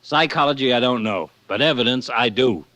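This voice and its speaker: An actor playing a skeptical, stubborn police official in 1940s radio drama, gruff and argumentative. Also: male voice, mid-range voice, fairly quick, pushed and bright, lightly acted. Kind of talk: 1940s radio detective acting.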